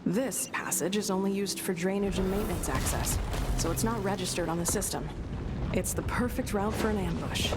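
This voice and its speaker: An actress playing a composed, watchful guide in a video game, explaining things informatively. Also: female voice, mid-range voice, moderate pace, loud music behind the voice, lightly acted. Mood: composed, wary.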